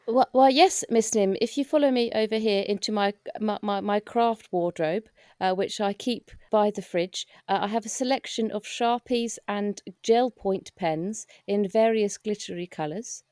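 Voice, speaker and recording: A woman's voice: medium pitch, moderate pace, few pauses, darkish tone, no echo, studio quality, lightly acted. A woman playing a polite, officious, flustered hospital attendant in a comedic audio roleplay, formal and courteous with a slight stammer.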